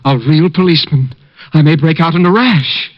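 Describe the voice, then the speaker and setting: An actor playing an excitable, fussy amateur criminologist in an old radio drama. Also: male voice, normal speed, lightly acted. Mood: eager. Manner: gushing, breathless, high-strung. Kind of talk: 1940s radio acting. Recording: dull lo-fi old radio recording.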